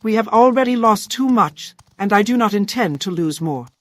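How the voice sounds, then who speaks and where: A woman voicing a proud, guarded town governor in a fantasy video game, speaking in a formal, measured way. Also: mid-range voice, expressive, no echo, close to an everyday voice. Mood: stern, guarded. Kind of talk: scripted video game dialogue. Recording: game music behind the voice.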